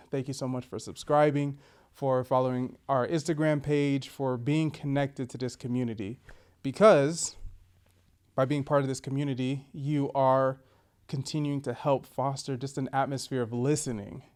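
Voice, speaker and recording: A young man hosts a podcast with upbeat energy. His voice is smooth and resonant, and he pushes eagerly into each question. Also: medium pitch, moderate pace. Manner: upbeat, energetic, laughs often. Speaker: podcast host. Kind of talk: enthusiastic podcast hosting.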